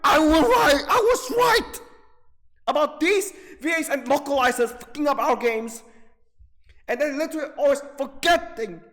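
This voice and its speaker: High-pitched agitated man in heavy reverb. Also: animated, a bit bright. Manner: agitated, profane, repetitive, halting with drawn-out emphasis. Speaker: gaming video creator. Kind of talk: angry off-the-cuff rant about video games. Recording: long reverberant hall-like echo.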